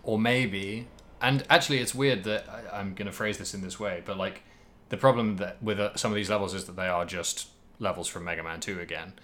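A man's voice: lowish voice, fairly even intonation, a little fast, a bit bright. A man hosting a podcast, casually discussing video games in a rambling way, hedging and thinking aloud as he goes. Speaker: podcast host.

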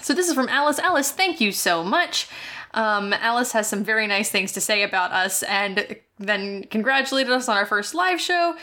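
Bright-voiced playful woman podcast host. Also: medium pitch, lively intonation. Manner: playful, quick to laugh, self-deprecating. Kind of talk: casual chat.

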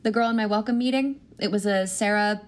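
A woman's voice: medium pitch, a little fast, lightly acted. A woman in her thirties playing an anxious, self-doubting new schoolteacher with a wry edge, in a naturalistic audio drama. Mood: earnest. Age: 30s. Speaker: actor in an audio play.

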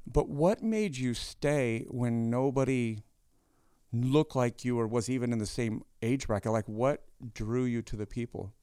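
Man, middle-aged, pastor hosting, chatty, joking, smooth clear voice, dry sound. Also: mid-range voice, a little slow, no room sound. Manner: chatty, warm-humored, many restarts. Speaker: pastor and host. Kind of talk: casual interview conversation.

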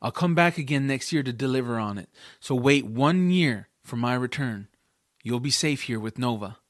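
A man's voice: medium pitch, lively intonation, moderate pace, no echo, studio quality, lightly acted. A man playing an earnest, eager young boy hero in a family fantasy audiobook drama. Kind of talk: audiobook drama character acting.

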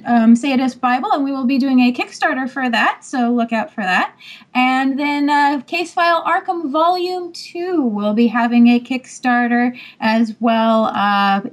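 A woman publisher on a podcast panel, giving out websites and upcoming projects in a casual, promotional way. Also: high-pitched. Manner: casual and promotional, many fillers. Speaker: publisher and editor-in-chief.

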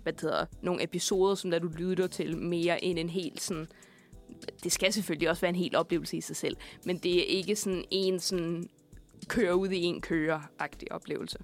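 A woman's voice: on the low side, fairly even intonation, soft background music. A young adult woman co-hosting casual student radio, talking in a rambling, playful, informal way in a studio. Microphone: studio microphone.

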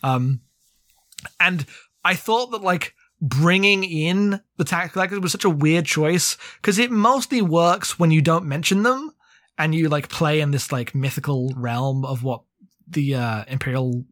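Higher-voiced man rambling in dry close sound. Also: measured pace, a bit bright, dry recording. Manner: rambling, heavy use of "like"; opinionated, sweary. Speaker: podcast co-host. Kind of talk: casual book discussion.

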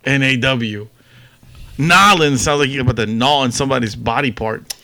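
A man's voice: medium pitch, moderate pace, bright, forceful tone, no room sound. A man hosting a comedy podcast in casual banter, teasing and joking as he talks. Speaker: podcast host.

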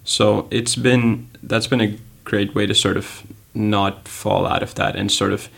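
A man's voice: fairly low pitch, steady tone, unhurried, gravelly, fairly bright tone, breathy edge. A young man from Pakistan, a native Urdu speaker, answering interview questions in a thoughtful way, often searching for words. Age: teens. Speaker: student guest.

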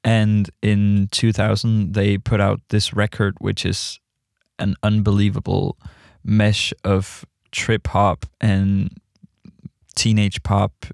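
A man presenting a music radio show in a casual, low-key, unhurried manner. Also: monotone, gravelly, darkish tone, no room sound, studio quality. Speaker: radio host.

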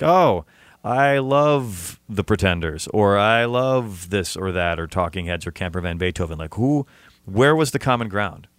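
Chatty man, lowish voice, dry sound. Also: a bit bright, dry recording. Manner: chatty, rambling. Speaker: interviewer and host. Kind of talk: casual band interview.

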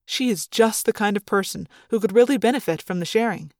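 A woman voicing a middle-aged male school official who speaks in an earnest, measured, patronizing way, as in an audiobook. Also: mid-range voice, dry recording, clean studio sound, lightly acted. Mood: earnest, flattering.

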